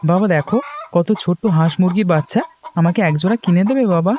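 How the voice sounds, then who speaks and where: A performer playing an eager young village boy in an animated moral story, childlike and pleading. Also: medium pitch, quick pace, close to an everyday voice.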